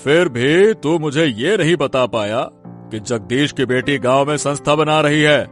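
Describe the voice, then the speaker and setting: A man's voice: a little high, measured pace, close to an everyday voice. Man acting a menacing village boss over music. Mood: scolding, scheming. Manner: stern, domineering, scolding. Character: menacing, vengeful village big man scheming against a young woman. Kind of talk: Hindi cartoon story acting.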